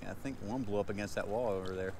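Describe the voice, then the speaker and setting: A man's voice: fairly low pitch, very fast. A man chatting casually while fishing outdoors by the water, slangy and teasing with his companions. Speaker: angler. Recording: loud water and boat-like outdoor noise.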